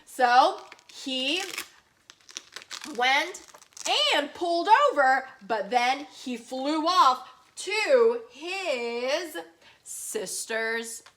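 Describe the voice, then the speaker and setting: A woman telling a story to young children in a high, bright voice. She takes her time, using simple repeated phrases and addressing the listeners directly. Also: lively intonation, drawn-out words.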